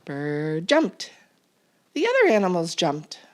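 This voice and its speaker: Slow, expressive woman storyteller. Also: lowish voice. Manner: deliberate, expressive, child-directed. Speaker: children's storytime reader. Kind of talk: expressive picture-book narration.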